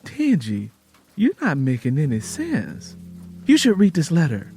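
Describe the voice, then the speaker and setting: A man's voice: fairly high pitch, wildly swooping pitch, measured pace, mellow, faint music behind, pitched above the performer's own voice, heavily acted. A man voicing an earnest rural Southern woman in an audio drama, coaxing her friend in plain folksy speech. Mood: worried.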